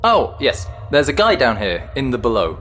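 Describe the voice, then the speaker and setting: An actor as a cheeky ghost with swooping pitch. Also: wildly swooping pitch, moderate pace, fairly bright tone, soft background music. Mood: guileless, chatty. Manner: guileless, playful, chatty. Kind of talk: audio drama acting.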